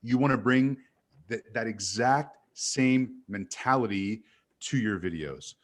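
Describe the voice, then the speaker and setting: A man from Montreal, an animated guest who tells stories and corrects himself as he chats on a video call. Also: on the low side, slow. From Canada. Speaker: guest sales speaker. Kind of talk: casual video-call chat.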